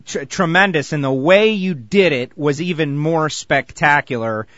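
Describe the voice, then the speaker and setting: A man hosting a sports radio show, eager and animated as he interviews a guest. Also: on the high side, unhurried, dry recording, muffled recording. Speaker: radio host.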